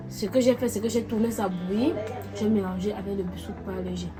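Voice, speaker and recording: Congested woman vlogger, talking casually over clear background music. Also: mid-range voice, a little fast. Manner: chatty, repeatedly addresses viewers as family. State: congested with a cold and cough. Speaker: vlogger mother. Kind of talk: casual family vlog talk.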